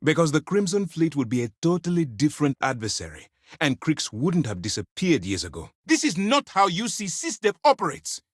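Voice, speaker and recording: A man playing a stern, principled space navy commander in a video game, speaking in a formal, measured, authoritative way. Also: medium pitch, sing-song, dry recording, clean studio sound. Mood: firm. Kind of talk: scripted game dialogue.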